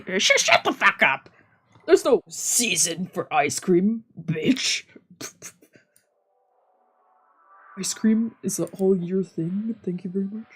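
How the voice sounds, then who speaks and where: A woman plays a fussy young man from a visual novel. The delivery is expressive and excitable, quick to scold or gush, in a mid-pitched voice. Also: slightly rough, soft background music, exaggerated voice. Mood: indignant. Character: fussy, excitable, sweet-toothed, foul-mouthed young male friend. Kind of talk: live dubbing of a visual novel.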